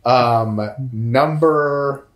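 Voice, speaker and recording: A man in his thirties on a couch, answering viewer questions in a casual, jokey, profane way, with big swings in pitch. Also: extremely slow. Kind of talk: casual chat. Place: indoor room, on a couch. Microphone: lapel mic. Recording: echoey room.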